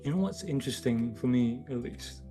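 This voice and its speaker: A man's voice: mid-range voice, animated, a little fast, warm tone, soft background music. Playful man, non-native English speaker. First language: not English. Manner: playful, teasing, blunt. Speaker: streamer co-host. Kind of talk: casual livestream chat.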